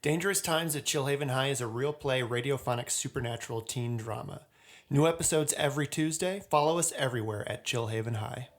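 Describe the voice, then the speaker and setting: A man in a podcast promo, bantering and announcing in a lively style. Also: medium pitch, brisk.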